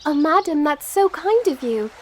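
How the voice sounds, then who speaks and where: Woman acting a very high-voiced earnest teenage girl. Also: expressive, moderate pace. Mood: polite, earnest. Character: determined, earnest, polite sixteen-year-old girl. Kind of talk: amateur audio play acting. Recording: faint background noise.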